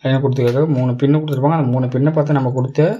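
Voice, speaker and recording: A man's voice: mid-range voice, fairly even intonation, racing, dark tone, muffled recording. A man with a Tamil Nadu Tamil accent giving a casual product demo as a tech reviewer, explaining step by step in colloquial, repetitive phrases. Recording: clear background noise, clicks.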